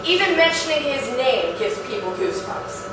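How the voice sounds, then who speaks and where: A woman's voice: high voice, sing-song, normal speed. A woman acting a dreamy, affected undertaker's wife in a staged play reading, savouring each phrase theatrically. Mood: dramatic, aggrieved. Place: live reading in an echoey performance room. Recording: roomy, distant, noisy live theatre recording.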